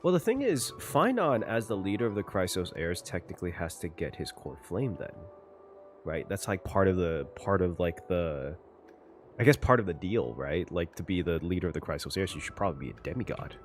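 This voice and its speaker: A male gaming streamer reacts casually in slangy bursts. His fairly low voice jumps around in pitch with lively animation, over faint music. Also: a little fast. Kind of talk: casual excited game reaction. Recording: faint game music behind.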